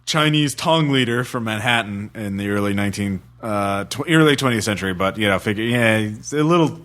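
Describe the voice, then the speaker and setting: A man hosting a podcast in casual chat, speaking in a halting, agreeable way. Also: on the low side, fairly bright tone. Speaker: podcast host.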